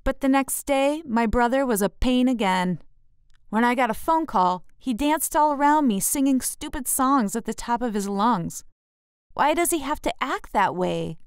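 An eight-year-old girl acted out by a woman complains about her sibling in a storybook. She is indignant, jealous and bossy. The voice is clear and smooth, with a dry, close sound. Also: fairly high pitch, no room sound.

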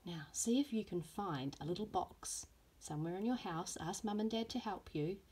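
A woman, a primary school teacher reading a storybook aloud to young children in a simple, expressive way. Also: mid-range voice, moderate pace, slightly rough.

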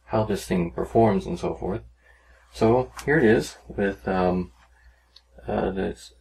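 A man giving a casual, rambling, unhurried demonstration of a hobby project, talking as a maker. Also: low voice, vocal fry, darkish tone, slight background noise, low-quality recording. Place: small cluttered indoor workshop.

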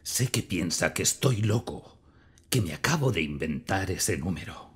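An actor playing a proud, troubled older mathematics professor in a Spanish film dub, husky and intense, with a Castilian accent. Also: male voice, sits low, lively intonation, full character voice. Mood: troubled, defensive. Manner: slow, intense, insistent. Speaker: dubbing actor. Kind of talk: dubbed film drama acting.

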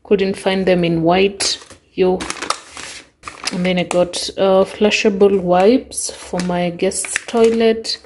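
A young Kenyan woman narrates her haul in a relaxed, chatty voice-over. She takes her time, naming each item one after another. Her voice sits at a middle pitch, and a faint background noise runs beneath it. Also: slow. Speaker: vlogger.

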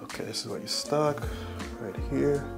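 A man's voice: medium pitch, normal speed, gravelly, faint music behind. A middle-aged man talking casually and reassuringly as a chiropractor while he treats a patient in a small room. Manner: reassuring, checking in often.

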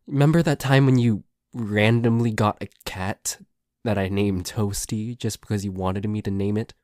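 A man's voice: lowish voice, fairly even intonation, moderate pace, darkish tone, dry recording, studio quality, natural voice. A man playing a flustered, grumbling but secretly affectionate boyfriend in an ASMR roleplay, stammering and grudging as he talks. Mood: flustered, defensive. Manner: stammering, hesitant, grudging, sweary.

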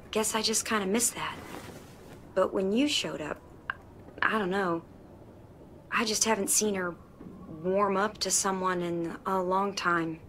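A woman's voice: medium pitch, slow pace, long pauses, a bit bright, slight background noise. A woman playing an anxious young survivor in a game drama, speaking hesitantly and apologetically. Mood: regretful, troubled. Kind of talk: naturalistic video game drama acting.

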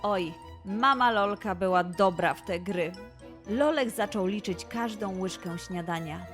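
A woman's voice: medium pitch, measured pace, a bit bright, soft background music. A woman narrating a scripted children's story expressively as the host.

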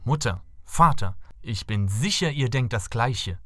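Lively-pitched, earnest young prince. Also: male voice, measured pace, dry recording, studio quality, soft background music. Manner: formal, earnest. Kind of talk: fairy tale cartoon dialogue.

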